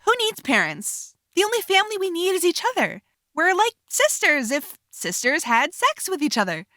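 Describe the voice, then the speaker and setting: Brash, high, sharp-voiced young witch. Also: wildly swooping pitch, moderate pace, dry recording, studio quality, full character voice. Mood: brazen teasing. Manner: sarcastic, taunting. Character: brash, mocking young female witch, sarcastic and provocative. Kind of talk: audio drama acting.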